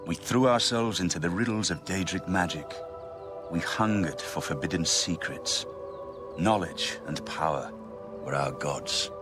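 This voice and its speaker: An actor playing a wise, solemn mage ally in a fantasy game, speaking in a grave, measured, earnest way. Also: male voice, low voice. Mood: solemn, regretful. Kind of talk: scripted fantasy game dialogue. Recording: music behind the voice.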